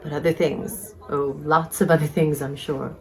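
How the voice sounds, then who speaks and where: A woman's voice: sits low, flat delivery, moderate pace. A woman playing an arch, flirtatious, inquisitive single woman in a dramatized novel. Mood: coy, probing. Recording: chatter of a crowd behind. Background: crowd chatter, pub ambience.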